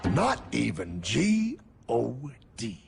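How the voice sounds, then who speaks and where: A man's voice: mid-range voice, wildly swooping pitch, slow pace, gravelly, music in the background, heavily acted. A man voicing a brash, streetwise cartoon guide who explains things emphatically and sarcastically, talking down to the listener. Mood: emphatic. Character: brash streetwise male cartoon guide exposing banking secrets. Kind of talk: animated cartoon acting.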